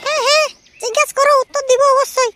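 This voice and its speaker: A man's voice: very high-pitched, fairly even intonation, fast talker, very noisy. A man voicing a hot-tempered middle-aged village housewife in a comic cartoon, with a rural West Bengal accent and an electronically raised voice. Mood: brisk, impatient. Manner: scolding, challenging.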